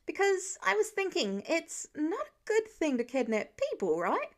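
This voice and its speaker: A woman's voice: very high-pitched, wildly swooping pitch, a little slow, voice pushed up, full character voice. A woman voicing an eager, curious teenage boy superhero, chatty and rambling, in an audiobook-style story.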